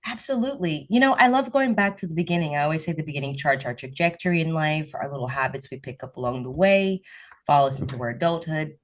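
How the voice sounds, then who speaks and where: A woman's voice: deep voice, fast. A woman hosting an interview podcast, asking questions in a rambling, chatty way with many fillers. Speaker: podcast host. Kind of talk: casual interview chat.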